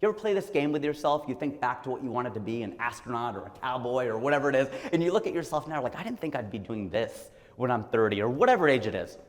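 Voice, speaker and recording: A man's voice: mid-range voice, sing-song, fast talker, few pauses, in a large hall. A man in his forties from Ohio, giving a motivational talk in a joking, conversational way and acting out the people he quotes. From Ohio, USA. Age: 40s. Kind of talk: stand-up style motivational talk.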